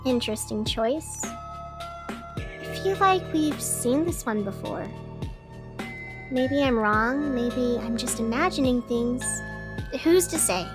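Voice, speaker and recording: A woman acting as a lonely, world-weary female radio host speaks at a very slow pace in a fairly high voice. She muses wistfully to herself, as in an audio drama monologue, with music clearly playing underneath. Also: expressive. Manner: wistful, musing, talking to herself. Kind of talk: scripted radio fiction monologue with poetry.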